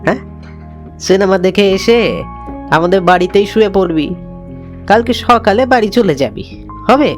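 A man's voice: high-pitched, moderate pace, pauses often, soft background music, close to an everyday voice. Man acting a bold scheming village schoolboy. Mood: coaxing. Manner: coaxing, confident.

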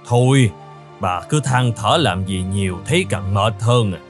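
Old peasant husband, grumbly, kindly, exclamatory, cartoon fairy tale, music underneath. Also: male voice, lowish voice, unhurried. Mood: resigned. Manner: expressive, exclamatory. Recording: music behind the voice.

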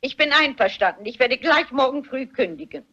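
A woman's voice: sits high, animated, brisk, pushed and bright, exaggerated voice. An actress playing a polite, shrewd, unflappable lady sleuth in a German radio mystery play. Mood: sly, composed. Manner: courteous, composed. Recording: muffled, dull radio play recording.

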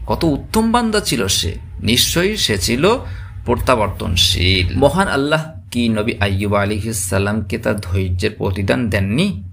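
A man gives a scripted religious narration in a solemn, measured, emphatic preaching manner. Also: fairly low pitch, creaky, fairly bright tone. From West Bengal, India.

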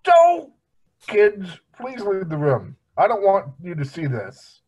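A man voicing a dim, childish middle-aged cartoon husband with a husky voice, in an amateur table read. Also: high-pitched, wildly swooping pitch, takes it slowly, a little mellow, muffled recording, exaggerated voice. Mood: pleading. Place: home room, on a video call.

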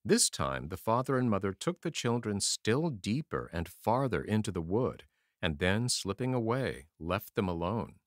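A man narrating a fairy tale as an audiobook reader, in a measured, expressive storytelling manner. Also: lowish voice, dry recording, clean studio sound.

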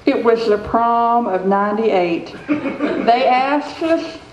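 A woman's voice: a little high, slow pace, crowd noise. Woman playing a blunt country girlfriend, echoey. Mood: deadpan scolding. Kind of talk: staged comic radio-show reading. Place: theater stage before an audience. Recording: muffled echoey hall, faint noise.

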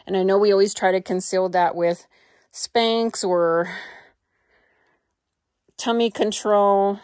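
A woman's voice: medium pitch, steady tone, slow, a little mellow, dry recording, studio quality. A middle-aged woman with an American accent, chatting casually to viewers as a fashion vlogger in an unscripted way. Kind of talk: casual haul and try-on chat. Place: small indoor walk-in closet. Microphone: handheld phone.

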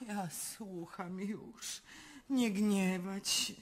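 An actress playing a weary, capricious Russian empress in a radio drama. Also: female voice, medium pitch, extremely slow. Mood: languid, weary.

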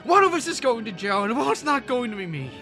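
A man's voice: extremely high pitch, very animated, fast, bright, forceful tone, faint music behind, heavily acted. A man acting in a radio drama as an earnest, kindly but easily frantic small-town businessman, folksy and emphatic, with an American accent. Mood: frantic, furious. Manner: folksy, emphatic, stammering when pressed.

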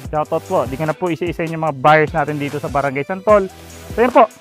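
A man's voice: fairly high pitch, quick pace, soft background music. A man in his thirties from the Philippines, an agent hosting a walking site tour outdoors on a lapel mic, talking in an upbeat sales patter full of fillers. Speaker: real estate agent and channel host. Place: outdoor construction site, sunny and open. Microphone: clip-on lapel mic.

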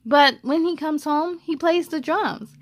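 A young American woman hosting a children's story time, reading aloud expressively with playful, animated delivery and friendly asides. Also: high voice, measured pace, dry recording. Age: young adult. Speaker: host of a children's read-aloud show.

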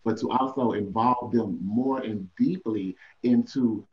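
A man's voice: mid-range voice, unhurried. A man on a panel explaining a prevention program over a video call, earnest and hesitant, with many fillers. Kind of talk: casual panel answer. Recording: dull lo-fi video call audio.

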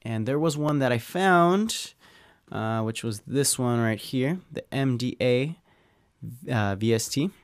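A man talking through a software tutorial as an audio engineer, in an easygoing, conversational way with informal asides. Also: medium pitch, takes it slowly, smooth, no echo, clean studio sound. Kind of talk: casual software tutorial talk.

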